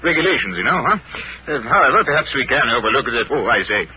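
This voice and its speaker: An actor playing a pompous British colonel in 1940s radio style, a fussy stickler for regulations who blusters and hems and haws. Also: male voice, medium pitch, animated, quick pace, natural voice. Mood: fussy, blustering. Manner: blustering, hemming and hawing. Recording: tinny old radio broadcast with noise.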